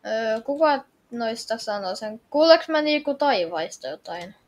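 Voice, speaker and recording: A woman playing a game and reacting out loud in a dramatic, exclamatory, pleading way. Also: a little high, lively intonation. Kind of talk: excitable reactive gameplay chat.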